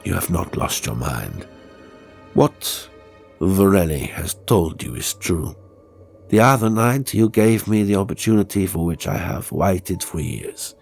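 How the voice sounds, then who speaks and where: A man voicing a cold, gloating stage ventriloquist villain in a horror story, with stern, measured and formal speech. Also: deep voice, expressive, gravelly, darkish tone, soft background music, full character voice. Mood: quiet gloating.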